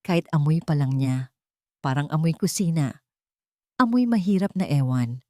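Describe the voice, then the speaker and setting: A woman's voice: deep voice, lively intonation, moderate pace, a little mellow, no room sound. A woman voicing a contemptuous, cheating adult husband in a storybook audiobook, with a dry, close sound. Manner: contemptuous, dismissive.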